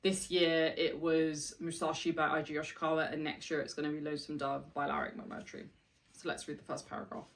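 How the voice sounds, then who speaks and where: Low-voiced woman book vlogger, off-mic. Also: level tone, brisk, recorded from a distance. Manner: chatty, reads passages aloud with enthusiasm. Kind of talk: casual chat with read-aloud passages.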